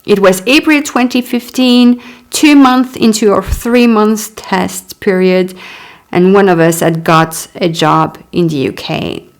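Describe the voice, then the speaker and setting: A woman in her thirties whose first language is French, reading her own memoir aloud and stopping for chatty asides. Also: mid-range voice. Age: 30s. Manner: unhurried reading broken by chatty asides and little laughs. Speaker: author.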